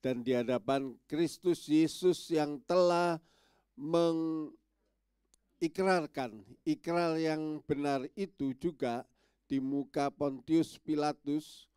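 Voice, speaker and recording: A man's voice: on the high side, fairly even intonation, takes it slowly, a little mellow, clear, resonant tone, no room sound, studio quality. A male pastor preaching to his congregation, his voice stuffy and nasal from a cold, with many small filler yeses between phrases. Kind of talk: sermon. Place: church.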